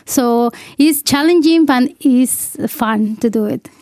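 Mexican woman commentator, eager, mellow tone, dry studio sound. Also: high-pitched, slow, dry recording. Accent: Mexican Spanish. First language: Spanish. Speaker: football commentator. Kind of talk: radio interview.

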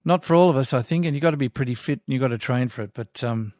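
A man's voice: mid-range voice, racing, a little mellow, no echo. An older Australian man hosts a talkback radio show, chatting in an easygoing, folksy way as he reads out letters from listeners. His voice sits in the middle of the usual male range. It is recorded close and dry in a studio. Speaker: radio host. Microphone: studio microphone.

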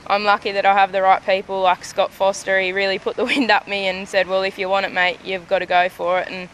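A young woman starting out as an auctioneer, with a deep voice, talking eagerly and with determination. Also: flat delivery, fast talker, fairly bright tone, a little hiss or hum, poor audio. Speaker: trainee auctioneer.

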